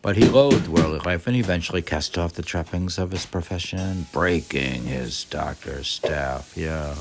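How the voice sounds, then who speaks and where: Male podcast reader, very deep and full of fry, rambling and musing, halting phrases, gravelly. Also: noisy background. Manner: halting, musing, often trailing off. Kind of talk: reading a book aloud with rambling personal commentary.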